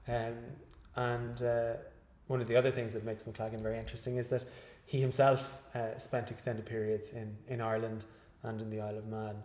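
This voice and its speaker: A male researcher giving an interview after a lecture, speaking in a measured, hesitant way with many fillers and restarts. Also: medium pitch, level tone, darkish tone. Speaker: guest researcher. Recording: echoey room.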